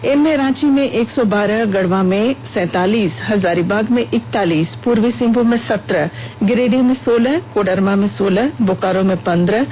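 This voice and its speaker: A woman's voice: mid-range voice, a little mellow. Muffled radio newsreader, a woman. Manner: formal, measured delivery. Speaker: news reader. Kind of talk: scripted radio news bulletin reading. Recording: muffled radio broadcast with hum and noise.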